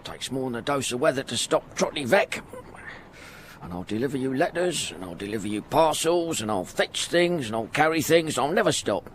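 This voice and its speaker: A man's voice: medium pitch, expressive, normal speed, slight background noise, lightly acted. An actor playing a poor, humble middle-aged porter and devoted father in a radio drama, rambling in folksy working-class speech. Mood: chatty, stoic. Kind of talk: radio drama acting, first-person monologue.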